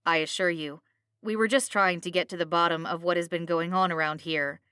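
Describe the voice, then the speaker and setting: A woman's voice: fairly low pitch, steady tone, fairly quick, pushed and bright, smooth, no echo, clean studio sound. A woman voicing an earnest, loyal retired male soldier in a storybook, politely pleading his case. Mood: imploring.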